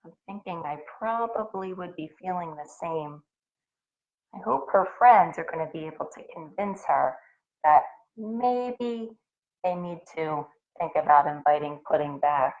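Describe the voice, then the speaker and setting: A woman reading a picture book aloud expressively to young children, pausing to explain and ask questions as a teacher would. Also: fairly low pitch, narrow, tinny audio.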